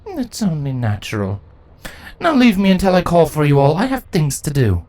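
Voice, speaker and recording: A man voicing a ruthless, imperious female changeling insect queen in a story reading, haughty and deliberate in delivery. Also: fairly high pitch, huge pitch swings, a little mellow. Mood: commanding. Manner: haughty, deliberate, grandly self-justifying.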